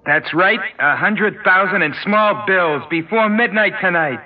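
A man's voice: high voice, animated, a little slow, faint music behind. A man playing a cocky, cruel young gang leader in an old-time radio drama, taunting and bossy in slangy talk. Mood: mocking. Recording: tinny, noisy vintage radio recording.

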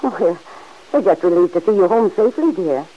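An actress playing a gentle, worried older aunt in a 1940s radio drama, speaking softly and hesitantly. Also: female voice, fairly low pitch, expressive, fairly quick, highs cut off.

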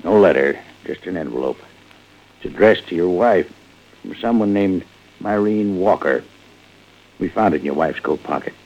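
Shrewd sheriff in 1940s radio crime drama: deep American drawn-in probing, understated yet varied pitch, dull recording. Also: male voice, animated, moderate pace, long pauses, a little mellow. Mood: quietly accusing. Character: shrewd, folksy sheriff slowly cornering a suspect. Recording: muffled old radio recording.

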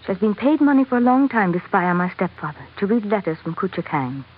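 A woman's voice: medium pitch, very animated, fast, breathy edge. A woman playing a devoted, educated young sultana with an earnest manner in an old radio drama. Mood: indignant. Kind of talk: 1940s radio drama acting. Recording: dull, noisy old radio recording.

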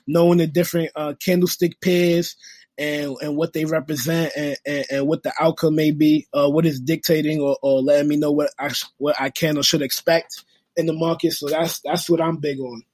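Husky, earnest young New man. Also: fairly high pitch, flat delivery, normal speed, a little mellow. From New York. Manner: earnest, motivational, street slang. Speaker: guest, music industry worker and forex trader. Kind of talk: casual podcast interview.

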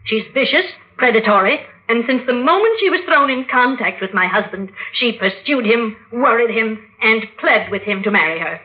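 Woman playing a bitter haughty widow, highish voice, very emphatic, dull old radio recording. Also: natural voice. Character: indignant, vengeful widow accusing her rival in court. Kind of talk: old-time radio acting.

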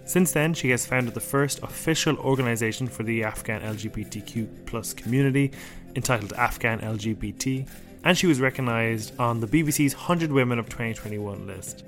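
A man hosting a documentary podcast, reading his introduction and then asking questions in a friendly way. His voice is mid-pitched. Soft music plays faintly beneath him. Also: fairly quick. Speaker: podcast host. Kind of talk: scripted intro then interview.

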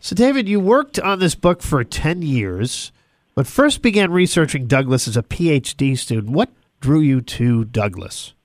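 A man's voice: fairly high pitch, big pitch swings, moderate pace, no echo. Man hosting an author interview, dry close sound. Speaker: radio host interviewing authors. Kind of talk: scripted introduction and interview questions.

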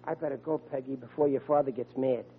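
A man's voice: medium pitch, steady tone, lightly acted. Man playing a hapless young fellow, tinny radio sound. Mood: glum. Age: young adult. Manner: naive, earnest, slow-witted. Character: hapless, earnest, dim-witted young would-be policeman. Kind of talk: old-time radio comedy acting. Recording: tinny vintage radio recording with hum.

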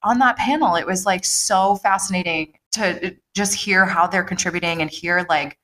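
A woman hosts a relaxed podcast conversation in a somewhat low voice. She talks with open enthusiasm, dropping casual swear words and plenty of filler. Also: normal speed, a little hiss or hum, rough recording. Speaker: podcast host.

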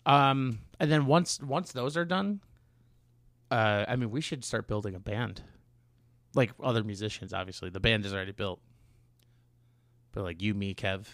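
A man speaking as a podcast co-host in casual chat, explaining things in a halting, rambling way. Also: on the low side, fairly bright tone, no echo, clean studio sound. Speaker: podcast co-host and songwriting musician.

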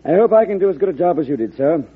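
Humble new president, radio drama. Deferential, earnest, heavily husky mid-range voice, tinny vintage broadcast. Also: male voice, fairly high pitch, lively intonation, racing. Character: respectful, humble newly sworn American president. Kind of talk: 1940s radio drama acting. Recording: old narrow-band radio broadcast.

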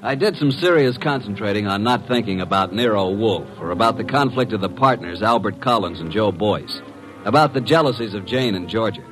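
A man acting a wisecracking American detective's assistant from a vintage radio drama. He is deadpan and unflappable, yet his pitch moves freely. Music plays around the voice. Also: fairly quick, natural voice, low-quality recording. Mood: dry narration. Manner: wry, deadpan. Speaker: actor and first-person narrator.

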